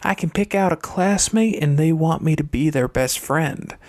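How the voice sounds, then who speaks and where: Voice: mid-range voice, moderate pace, a little mellow, natural voice. A reader voicing a calculating young girl from a wealthy family in an audiobook, airy and pointed with a haughty disdain. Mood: confident, proud.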